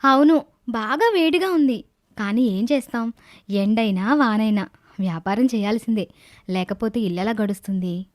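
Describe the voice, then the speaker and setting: A voice actress plays a young village woman who sells coconuts in a cartoon moral tale. She is kind and plain-spoken, with an earnest delivery in a fairly high voice. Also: normal speed, pauses often, lightly acted. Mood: matter-of-fact. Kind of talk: children's animated moral tale dubbing.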